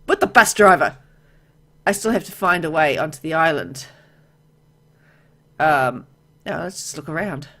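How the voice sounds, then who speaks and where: A woman with a low voice narrates a story game aloud in a let's play style. She reads the text as a story and drops in casual remarks of her own as the player. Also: animated, unhurried, long pauses, fairly bright tone. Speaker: gamer reading a visual novel aloud.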